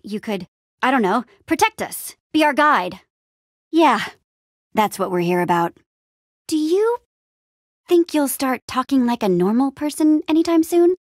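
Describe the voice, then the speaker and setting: Upbeat young game hero girl, plucky n wry, super bouncy intonation, kinda high. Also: lively intonation, unhurried, long pauses, no echo, clean studio sound. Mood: curious. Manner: eager, wry, casual youthful phrasing. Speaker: video game voice actor. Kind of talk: animated adventure game dialogue.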